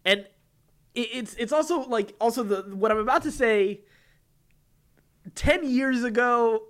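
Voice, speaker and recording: A man's voice: very high voice, a little slow, fairly bright tone, clear, resonant tone. A man co-hosting a casual nerd-news podcast, chuckling and easygoing, with stop-and-start phrasing. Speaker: podcast host. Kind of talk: casual nerd-news chat with some read-out news items.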